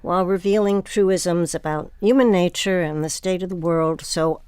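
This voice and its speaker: Smooth, clear woman host. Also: lowish voice, steady tone, moderate pace, a little mellow, no echo. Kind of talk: scripted credits and interview introduction.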